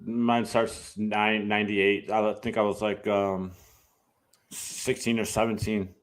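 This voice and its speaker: Laid-back male host, low voice, hesitant and easygoing. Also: level tone, slow. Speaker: interviewer, podcast host. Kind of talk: casual interview talk.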